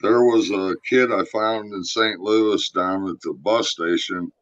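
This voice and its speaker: A middle-aged man with a deep voice, earnestly telling his story in casual chat on a live stream over a video call, with halting restarts. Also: fairly even intonation, a bit bright, dry recording. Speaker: guest giving testimony. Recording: dry, dull lo-fi stream audio.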